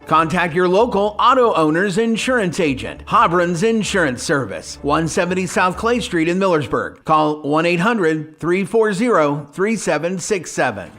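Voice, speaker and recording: A man with a high-pitched voice reads the contact tag of a radio commercial. His scripted announcement sits over faint music. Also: animated, moderate pace, few pauses. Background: soft music.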